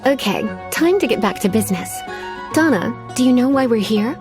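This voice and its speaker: A woman's voice: fairly high pitch, expressive, a little fast, over music, close to an everyday voice. An actress playing a flirtatious, teasing witch librarian with a coy, genteel manner in a video game. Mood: businesslike, coy. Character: flirtatious, languid adult witch librarian, teasing, quietly menacing. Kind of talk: video game character acting.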